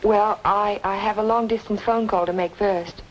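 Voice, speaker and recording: A woman's voice: medium pitch, fairly even intonation, normal speed, highs cut off, lightly acted. Actress as a troubled young woman, noisy old recording. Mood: polite, flustered. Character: polite, troubled young woman questioning those around her. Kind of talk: old-time radio noir drama acting.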